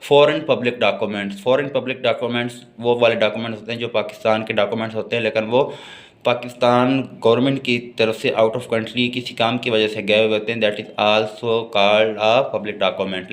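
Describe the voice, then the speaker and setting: Pakistani man lecturing, medium pitch. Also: steady tone, fairly quick. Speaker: law lecturer. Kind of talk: explanatory lecture mixing Urdu-Hindi and English.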